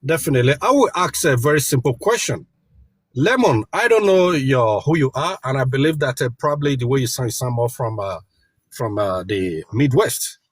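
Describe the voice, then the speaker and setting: A man's voice: mid-range voice, animated, normal speed, slightly rough. A man from Africa arguing on a video call from outdoors, lecturing and talking over others. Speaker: debate panelist. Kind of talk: heated online debate.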